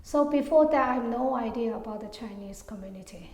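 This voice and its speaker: A middle-aged woman from Hong Kong talks through her memories in a fairly high voice, pausing often on fillers and letting her sentences fade out. The room is echoey, with a long reverb tail behind her. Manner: hesitant, many fillers, soft trailing endings. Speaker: interviewee. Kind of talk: oral history interview.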